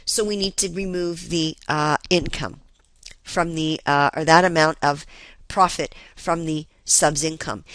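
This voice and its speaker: Lower-voiced woman teaching a lesson. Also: pushed and bright, no echo. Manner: didactic, checks in with "right?" and reminders. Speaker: accounting instructor. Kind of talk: explanatory lesson walkthrough.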